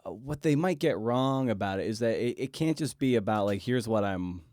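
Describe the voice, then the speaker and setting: Male interviewer, slightly low pitch, halting and conversational delivery, dry recording with no room sound. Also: expressive, darkish tone, dry recording. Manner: halting, feels way through questions with repeated words. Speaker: podcast host, interviewer. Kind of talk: casual interview questioning.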